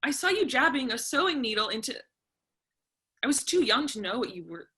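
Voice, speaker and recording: A woman reading the part of a defiant, sharp-tongued young art-student daughter in a stage drama, sarcastic and confrontational. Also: high-pitched, sing-song, brisk, exaggerated voice. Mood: sarcastic, accusing.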